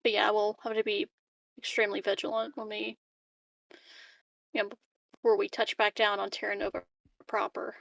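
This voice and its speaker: A woman's voice: mid-range voice, monotone, moderate pace, vocal fry, long pauses, fairly bright tone, no echo, low-quality recording. A woman chatting casually as a player in a tabletop roleplaying game, swearing freely and poking fun at herself. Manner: self-deprecating, swears freely. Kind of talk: casual in-game chat, often describing her character's words.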